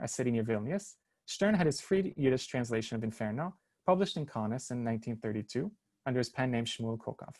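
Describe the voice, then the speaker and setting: A man's voice: mid-range voice, darkish tone. A man presenting a prepared research paper at an online seminar, reading carefully with small hesitations over foreign names. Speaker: academic lecturer. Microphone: online seminar, computer mic.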